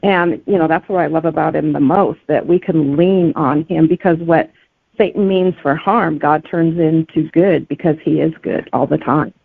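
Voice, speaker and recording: Creaky-voiced woman on a phone line. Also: low voice, normal speed, no room sound. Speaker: author being interviewed. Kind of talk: earnest conversational interview. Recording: dry, tinny phone-line sound.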